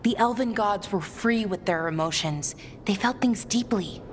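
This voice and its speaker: A woman's voice: medium pitch, normal speed, background noise, close to an everyday voice. A woman voicing an earnest young elven scholar in a fantasy video game, musing aloud. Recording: music behind.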